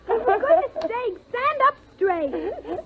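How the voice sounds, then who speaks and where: A bossy little girl in an old radio play, super high slow voice, muffled old recording. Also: animated, a crowd in the background, heavily acted. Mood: exasperated. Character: bossy, curious little sister, a young girl. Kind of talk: 1950s radio drama acting.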